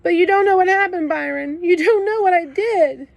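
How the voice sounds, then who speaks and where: Sobbing little boy, high and shaky, ashamed and pleading, read by a woman; storybook audiobook. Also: animated, normal speed, dry recording. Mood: tearful pleading. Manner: choked, pleading, trembling. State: crying. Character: tearful ten-year-old boy, sensitive and ashamed.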